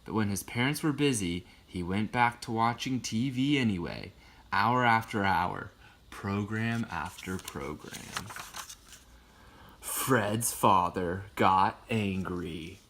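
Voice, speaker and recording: A man reading a picture book aloud in an expressive storytelling way, with casual asides. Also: fairly low pitch, slow pace, a little hiss or hum.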